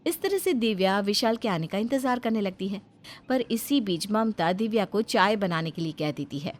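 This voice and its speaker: A woman's voice: mid-range voice, expressive, moderate pace. A woman giving scripted narration of a family story as its narrator.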